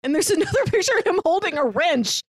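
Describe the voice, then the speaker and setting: A woman's voice: extremely high pitch, sing-song, fast, dry recording. Woman chatting about movies on a podcast, acts out little bits, really dry close audio. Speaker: podcast co-host reviewing a film. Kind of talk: casual film discussion.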